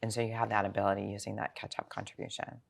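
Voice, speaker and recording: A woman's voice: very deep voice, flat delivery, very fast, vocal fry. A sixty-year-old woman speaking as a financial planner in an interview, explaining things informally with examples and rhetorical questions. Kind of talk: conversational interview answers.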